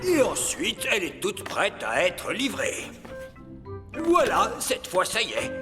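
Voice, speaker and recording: A proud, officious cartoon elf boss, with a high voice that leaps wildly in pitch. He explains things slowly and importantly, in a reverberant sound with music underneath. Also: huge pitch swings, heavily acted. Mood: proud, instructive. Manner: officious, exclamatory. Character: bossy, proud old male elf running a toy factory. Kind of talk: children's cartoon dubbing. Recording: music bed, long reverb.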